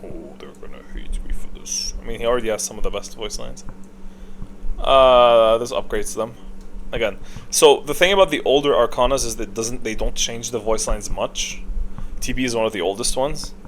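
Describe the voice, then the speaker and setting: A man with a medium-pitched voice talks through a gaming tier list. He is casual and opinionated, thinking aloud in a halting, unscripted way. A faint layer of noise sits behind his voice. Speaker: video creator, gaming commentator.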